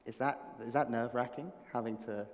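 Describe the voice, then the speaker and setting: A man's voice: medium pitch, steady tone, a little fast. A man interviewing a guest in a casual, joking way. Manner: playful, joking questions. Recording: long hall reverb.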